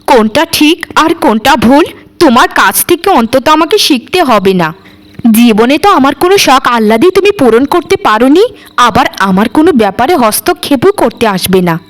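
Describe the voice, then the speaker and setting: A woman voicing a greedy, scheming, sharp-tongued housemaid in a cartoon, with dramatic, emphatic repetition. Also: high-pitched, animated, moderate pace. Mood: scornful, boastful. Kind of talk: Bengali cartoon voice acting.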